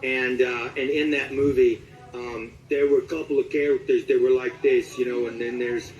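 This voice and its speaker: A man giving a casual interview as a voice actor, speaking haltingly as he searches his memory. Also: mid-range voice, little pitch movement, lo-fi sound.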